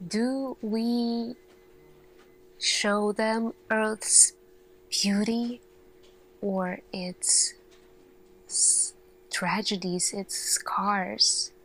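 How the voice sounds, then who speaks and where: A woman acting as an earnest, thoughtful lead scientist addressing her team in a soft-spoken ASMR roleplay, speaking deliberately with long pauses. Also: medium pitch, steady tone, slight background noise, rough recording. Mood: pensive, grave.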